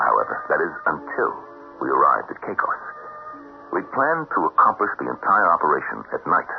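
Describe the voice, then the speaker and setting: An actor playing a deliberate, calculating treasure hunter with a gravelly voice in a 1970s radio mystery drama. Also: male voice, very deep voice, full character voice. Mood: grave, reflective. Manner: deliberate, planning, explanatory. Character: calculating male treasure hunter recalling a fatal venture. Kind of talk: 1970s radio drama acting and first-person narration. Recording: dull old radio broadcast, faint music.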